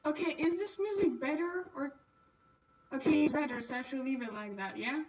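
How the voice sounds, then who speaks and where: A woman's voice: high-pitched, normal speed, a little mellow, recorded from a distance, highs cut off, a little hiss or hum. A woman livestreaming a game, chatting casually and playfully with her viewers. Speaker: livestreamer playing a visual novel. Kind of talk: casual chat while gaming.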